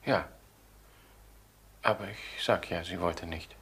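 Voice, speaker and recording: An actor playing a distraught, lovesick middle-aged murder suspect in a crime radio drama, halting and defensive. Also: male voice, deep voice, fairly even intonation, fairly bright tone. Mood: weary, pained. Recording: noisy old radio drama recording.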